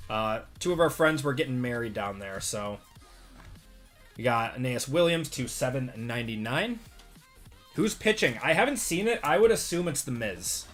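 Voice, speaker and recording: Bright-voiced Midwestern man running card breaks. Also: medium pitch. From Wisconsin, US. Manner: relaxed, chatty, reads out cards and answers chat. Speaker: sports card break host. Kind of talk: live-stream chat while opening cards.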